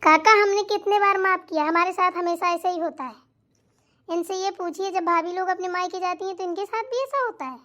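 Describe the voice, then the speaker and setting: An extremely high, squeaky cartoon voice for a petulant grown daughter visiting her family, acted by a woman. She whines and complains as the wronged sister-in-law of a family comedy. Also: fairly quick, sharp tone. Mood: sulky. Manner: whiny, aggrieved, complaining.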